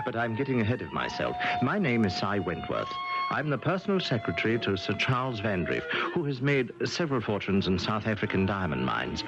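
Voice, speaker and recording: A man playing a polite, hesitant personal secretary to a tycoon in a seventies radio drama. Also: on the low side. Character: eager, deferential male personal secretary to a tycoon. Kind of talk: 1970s radio drama acting. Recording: noisy, dull 1970s radio recording.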